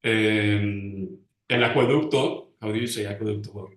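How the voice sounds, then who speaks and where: A man giving an informal presentation, pausing often as he searches for English words. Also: on the low side, steady tone, a crowd in the background. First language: Spanish. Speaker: panelist presenting community research. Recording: roomy, echoing sound.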